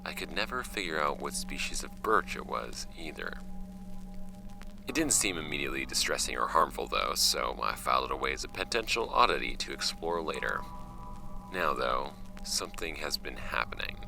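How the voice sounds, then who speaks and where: An actor playing a wry, offhand young man narrating his homemade podcast about his late uncle's files in an audio drama, with a gravelly voice. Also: animated, music in the background, exaggerated voice. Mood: measured, reflective. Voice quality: very deep, gravelly. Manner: wry, offhand, halting when ad-libbing, measured when reading.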